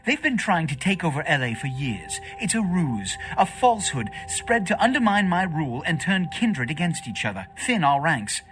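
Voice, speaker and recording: An actor playing a haughty, short-tempered vampire prince in a video game, speaking in a formal, theatrical way. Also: male voice, a little high, sing-song, moderate pace, few pauses, fairly bright tone, soft background music. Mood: indignant.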